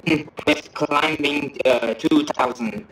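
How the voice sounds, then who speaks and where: A man's voice: a little high, slow, a bit bright, reverberant, distant mic, low-quality recording. A man in a multiplayer flight game, making terse amateur pilot radio requests.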